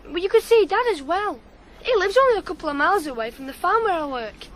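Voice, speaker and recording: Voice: very high voice, lively intonation, fast talker, a bit bright. An actor playing an eager, cocky teenage farm boy in a radio drama, earnest and plain-spoken. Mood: eager, pressing. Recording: faint noise, 1980s radio drama.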